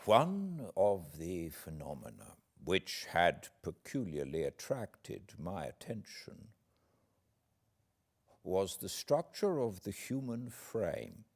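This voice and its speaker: A British man narrates classic literature in a very low voice with a gravelly creak. His delivery is theatrical and measured, with deliberate pauses and wide swings of intonation. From Britain. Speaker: audiobook narrator. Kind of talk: dramatic literary reading of a classic novel.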